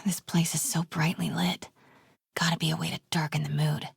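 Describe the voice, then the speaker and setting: A woman playing a determined, brave young reporter in a video game, breathy and often hushed. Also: low-pitched, flat delivery, normal speed, dry recording, studio quality, exaggerated voice. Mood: hushed, tense. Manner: hushed, often whispering to herself.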